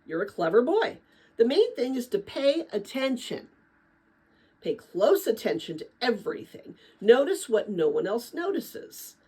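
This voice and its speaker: A woman plays a kindly middle-aged father in a storybook read-aloud. He gives encouraging advice in a measured, emphatic way, with varied pitch and a slightly husky tone. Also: very animated, dark tone, heavily acted.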